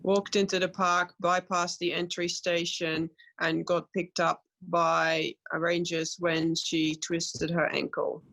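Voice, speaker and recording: A woman giving spontaneous interview answers as a community spokesperson, with hesitant, halting phrasing. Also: on the low side, level tone, a bit bright, rough recording.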